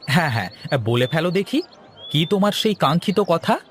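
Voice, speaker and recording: An agreeable elder-brother shopkeeper from a village cartoon, acted by a man. His voice is a bit high and swings widely as he talks, with faint noise and birds behind it. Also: a little fast. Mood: delighted. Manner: expressive, agreeable. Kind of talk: Bengali cartoon voice acting.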